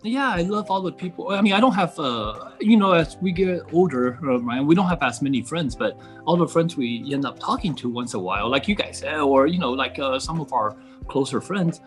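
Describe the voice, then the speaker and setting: Male, middle-aged, Taiwan-raised interview guest. Voice a bit higher than average, halting, sincere, conversational, music faintly present. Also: animated. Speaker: podcast guest.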